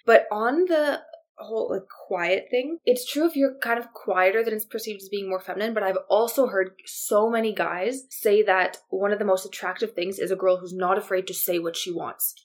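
A woman's voice: medium pitch, normal speed. A woman hosting a casual chat in a living room, reflecting on what her guest says and drawing her out. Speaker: host.

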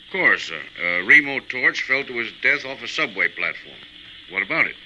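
An earnest, cautious insurance man with an edgy, forceful tone. He sounds officious and careful, in 1950s radio drama style. The old recording is dull and lo-fi. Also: medium pitch, sing-song, moderate pace, slight background noise. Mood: tense, urgent. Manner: earnest, careful, hesitant businesslike delivery. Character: anxious, cautious, officious male insurance claims agent.

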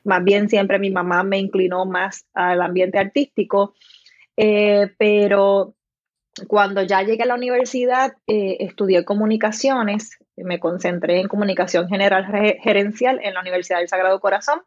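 A woman's voice: medium pitch, steady tone, moderate pace, dry recording, dull audio. A woman from southern Puerto Rico with a Puerto Rican accent, a writer talking as a podcast guest in a friendly, talkative way. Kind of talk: interview conversation.

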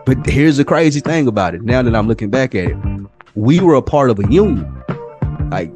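A man's voice: medium pitch, fairly quick. An American man in his forties telling stories in a casual, slangy, profane way as a podcast co-host. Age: 40s. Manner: profane, slangy, animated retelling. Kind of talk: casual storytelling chat. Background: music.

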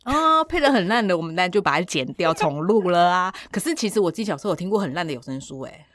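A woman with a Taiwanese Mandarin accent hosts a casual podcast chat. Her voice sits a bit low and she talks quickly, in an animated, opinionated way. The sound is dry, with hardly any room to it. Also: no echo. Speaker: podcast host.

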